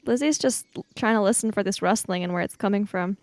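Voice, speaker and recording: A woman's voice: mid-range voice, fast talker, darkish tone, a little hiss or hum. A woman casually narrating her character's actions as a player in a tabletop role-playing game.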